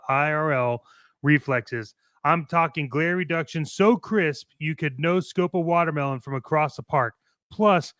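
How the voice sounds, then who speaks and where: A man's voice: a little high, a bit bright, dry recording, clean studio sound. A man co-hosting a hobby talk show, moving between opinionated chat and brash, playful, slangy ad reads. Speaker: co-host of a toy-collecting show.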